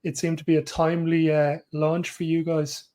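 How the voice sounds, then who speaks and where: A man's voice: fairly high pitch, completely flat delivery, normal speed, darkish tone. A man hosting a podcast interview, asking polite, formally worded questions. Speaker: podcast host.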